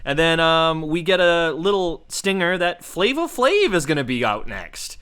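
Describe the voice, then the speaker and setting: A man's voice: fairly high pitch, big pitch swings, a little slow, a crowd in the background. A man hosting a podcast, chatting casually and with some swearing as he recaps a show. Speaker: podcast host.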